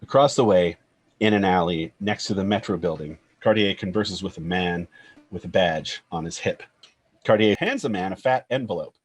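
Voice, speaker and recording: Low, slightly husky male screenplay narrator. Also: moderate pace. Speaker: narrator reading stage directions.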